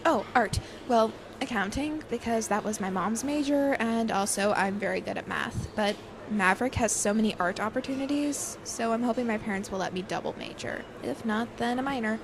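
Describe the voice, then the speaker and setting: A woman's voice: on the high side, normal speed, few pauses, background noise, lightly acted. An actress playing a bubbly, artsy young woman in an audio drama, gushing with enthusiasm. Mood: cheerful.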